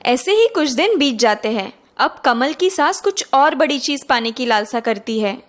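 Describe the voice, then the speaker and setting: A woman's voice: high-pitched, animated, normal speed. A woman narrating a scripted cartoon story.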